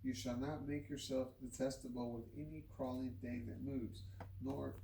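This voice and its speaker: Man reading scripture, flat and roomy. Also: mid-range voice, moderate pace, gravelly. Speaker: scripture reader. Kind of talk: scripted Bible reading aloud. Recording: roomy, distant microphone, noisy background.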